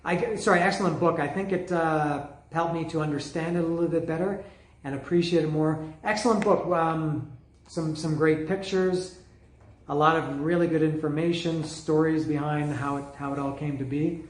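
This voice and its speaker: Man, casual music vlogger at home, slightly high voice, hoarse, rambling, echoey room. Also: fairly even intonation, moderate pace. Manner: rambling, many fillers and restarts. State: losing his voice. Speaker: music vlogger reviewing albums. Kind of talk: casual solo video talk. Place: home room with bookshelf below camera. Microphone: camera setup mic.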